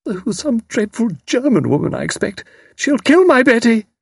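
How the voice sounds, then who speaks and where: Man voicing a frantic, high-pitched distraught mother. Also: animated, a little fast, vocal fry, husky, no room sound, clean studio sound, full character voice. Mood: despairing.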